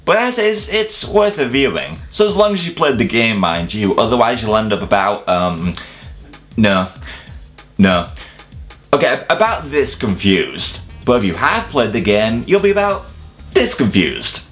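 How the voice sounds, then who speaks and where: Animated male video reviewer, jokey delivery. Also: fairly low pitch, unhurried, faint music behind. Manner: jokey, casually sweary. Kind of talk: semi-scripted countdown review commentary.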